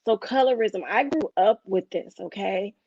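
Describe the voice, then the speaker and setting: Hurried woman hosting, dull dry sound. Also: medium pitch, darkish tone, no echo. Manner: hurried, addresses listeners directly. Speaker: podcast host. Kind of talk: casual discussion.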